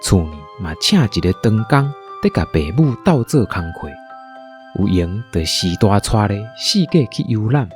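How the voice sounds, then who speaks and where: Male storyteller, Taiwanese accent, for audio drama narration: lowish, husky, lively intonation over faint music. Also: moderate pace.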